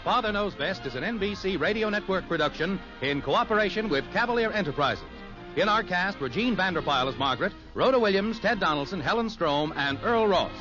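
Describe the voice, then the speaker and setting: Animated American vintage radio announcer, male. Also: high voice, fairly quick. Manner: polished, emphatic. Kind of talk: 1950s radio announcing, scripted. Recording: dull vintage radio recording. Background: music.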